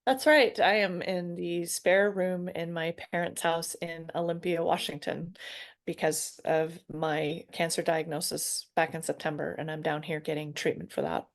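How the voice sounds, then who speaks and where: A middle-aged American woman, a guest on a video call from a spare room, telling her story in casual conversation, chatty and quick to laugh at herself. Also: fairly low pitch, fairly even intonation. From United States. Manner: chatty, self-deprecating, laughs easily.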